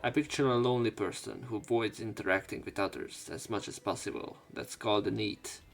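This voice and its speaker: Gravelly man reading game text. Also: lowish voice, steady tone, a little fast. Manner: joking asides, casual reading with fillers. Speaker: let's play host.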